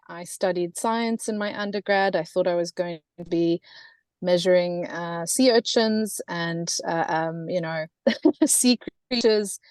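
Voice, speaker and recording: South african woman, fairly low voice, hesitant with many fillers, webinar speaker, dry close sound. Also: a little mellow, clear, resonant tone, no echo. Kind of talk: presenting slides in a webinar.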